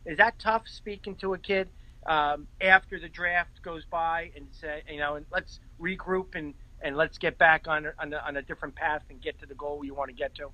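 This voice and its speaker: A middle-aged man hosts a casual chat with a high, bright voice. He asks eager follow-up questions. The sound comes from a webcam on a live stream. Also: fairly even intonation, moderate pace, rough recording. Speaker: talk show host. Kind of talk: casual interview.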